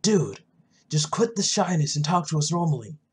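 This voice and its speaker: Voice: medium pitch, very animated, moderate pace, darkish tone, husky. A gamer voicing a brash, friendly, pushy young builder in a video game roleplay, chummy and emphatic.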